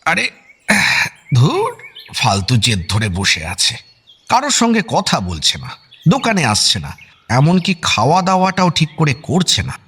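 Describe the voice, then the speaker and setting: A blustery, fond uncle in a radio drama. His husky, fairly high voice moves animatedly through colloquial speech over soft music. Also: huge pitch swings, a little slow, exaggerated voice. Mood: exasperated. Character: blustery, affectionate family uncle, teasing and exasperated. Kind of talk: Bengali radio drama acting.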